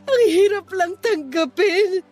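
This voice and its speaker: A woman's voice: very high-pitched, slow, darkish tone, soft background music. A woman playing a grieving, guilt-ridden mother in a Filipino radio drama, speaking with emotional, pleading delivery. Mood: tearful grief. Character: grieving, guilt-ridden young mother who lost her son.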